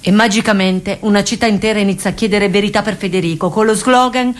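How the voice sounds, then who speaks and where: A woman's voice: mid-range voice, steady tone, fast. Casting: female narrator for scripted documentary theatre, middle-register voice.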